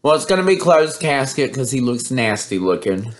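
A man in his thirties making a prank call with feigned distress, in a dramatic, plaintive, drawn-out way. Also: mid-range voice, sing-song, crowd noise. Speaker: prank caller. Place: indoors in a home room, reclining.

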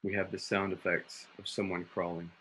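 Low-voiced man narrating a radio play. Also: flat delivery, moderate pace, fairly bright tone, slightly rough, rough recording. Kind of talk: scripted dramatic narration with sound-cue directions. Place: home room on a video call.

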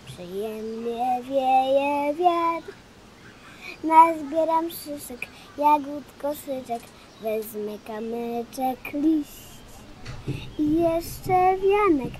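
A woman's voice: high voice, slight background noise. A young female performer playing a sweet, trusting little girl in a fairy-tale audio drama. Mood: singing happily.

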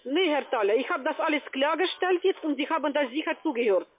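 Over a tinny phone line comes a high-voiced woman with a foreign accent. She works as a call-center agent, pressing her sales pitch in non-native phrasing and checking in again and again. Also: expressive, quick pace, crowd noise. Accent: foreign accent in German. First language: not German. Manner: pushy, repeatedly tagging phrases with "ja".

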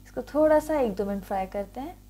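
A woman's voice: on the high side, animated, fast talker, warm tone. A woman hosting a cooking tutorial, narrating a recipe step by step in an instructional first-person walk-through. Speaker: cooking show host.

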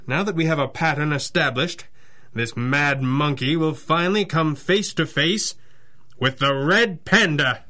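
An actor playing a stern, confident masked pulp hero, with a formal, declamatory delivery in a 1930s-style radio drama. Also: male voice, fairly high pitch, unhurried, pushed and bright. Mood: assured.

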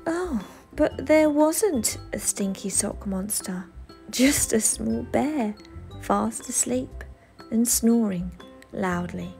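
A woman with a fairly high voice reads a children's bedtime story very slowly. She is expressive and leans into dramatic words, with soft music behind her. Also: gravelly. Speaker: storyteller, channel host.

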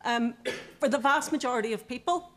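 A woman's voice: fairly high pitch, brisk, over a noisy crowd. A woman in her thirties with a Northern Irish accent, speaking from a studio audience, exasperated and insistent. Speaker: audience member. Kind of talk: televised debate comment.

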